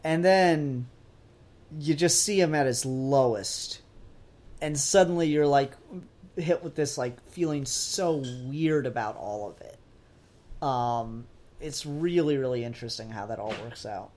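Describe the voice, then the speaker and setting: A man's voice: mid-range voice, unhurried, a little mellow. A man co-hosting a podcast, talking casually and informally about pop culture. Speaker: podcast co-host. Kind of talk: casual discussion of comics and TV.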